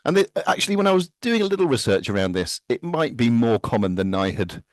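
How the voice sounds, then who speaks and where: A man's voice: mid-range voice, big pitch swings, fast talker, dry recording, clean studio sound. A man co-hosting a casual music podcast, chatty and anecdotal, often stumbling over his words. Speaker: podcast co-host.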